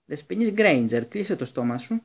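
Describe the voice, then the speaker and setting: A man's voice: fairly high pitch, sing-song. A man voicing a cold, resentful male professor with a snide, sneering manner.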